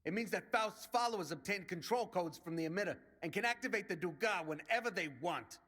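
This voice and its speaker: A man's voice: high voice, brisk, bright, forceful tone, breathy edge, full character voice. An actor playing a brash male resistance commander in a video game, rallying and plain-spoken like a soldier. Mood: determined.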